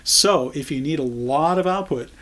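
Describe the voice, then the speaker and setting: Older American man explaining products, conversational and halting. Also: on the high side, sharp tone. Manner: conversational, halting, thinking as he goes. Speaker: product trainer. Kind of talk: informal product explainer to camera.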